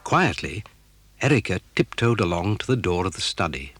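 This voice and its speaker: A man's voice: low voice, sing-song, normal speed. A man narrating a children's story as dramatic scripted storytelling. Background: music.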